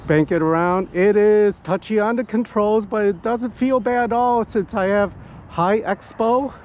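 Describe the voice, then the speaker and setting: High-voiced man, excitable hobby pilot outdoors. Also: a little slow. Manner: excitable, whooping, talking to viewers while piloting. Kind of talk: casual live flight commentary. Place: outdoors at a flying spot in wind and rain. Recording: muffled outdoor audio with background noise.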